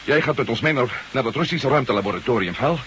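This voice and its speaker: An actor playing a driven former astronaut turned reporter, pressing hard and insistent in a radio drama. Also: male voice, mid-range voice, animated, fast talker, a bit bright, slight background noise, lightly acted. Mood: urgent. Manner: urgent, insistent.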